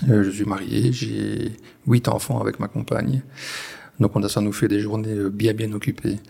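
Forties Belgian farmer from the Ardennes, gravelly voice, hesitant, restarts often. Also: male voice, fairly low pitch, level tone, moderate pace, warm tone. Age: 40s. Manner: hesitant, many restarts and fillers. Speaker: farmer guest. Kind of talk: conversational interview. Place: on a farm.

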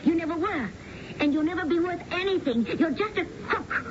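An actress playing a sharp, skeptical mistress in a radio drama, with a wry, pointed, theatrical delivery. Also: female voice, very high-pitched, lively intonation, a little fast. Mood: bitter, annoyed. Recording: muffled, noisy 1970s radio broadcast.

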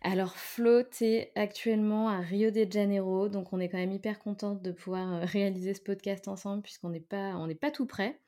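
A woman's voice: medium pitch, steady tone, moderate pace, few pauses, dark tone. A woman hosting a podcast, friendly and chatty as she talks with a guest. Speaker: podcast host. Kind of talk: casual interview.

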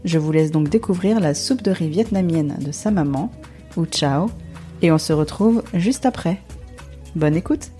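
Woman podcast host from france, lowish mellow voice, reading her script, faint music around. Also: expressive, unhurried.

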